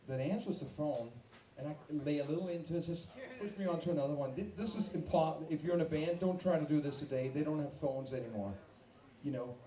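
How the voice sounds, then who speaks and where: Danish-accented rocker talking from a club stage. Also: male voice, on the high side, mellow. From Denmark. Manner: rambling, swearing, self-mocking. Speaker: rock singer telling a story on stage. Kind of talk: live stage banter between songs. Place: live music club. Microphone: stage microphone through PA. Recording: roomy live club sound from far off.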